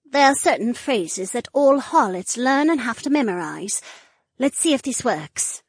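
A bold dragon woman, acted by a woman in a fantasy audiobook. Her voice is high and very lively in pitch, with measured pacing. She comes across as quick-witted and urgent, recorded close and dry. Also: husky, no echo, full character voice. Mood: urgent, assured. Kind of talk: audiobook character dialogue.

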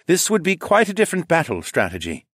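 A man voicing a gruff, loyal falcon-man second-in-command who speaks in a blunt, frowning way. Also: on the high side, huge pitch swings, fast talker, a bit bright, no echo, studio quality, pitched above the performer's own voice, exaggerated voice.

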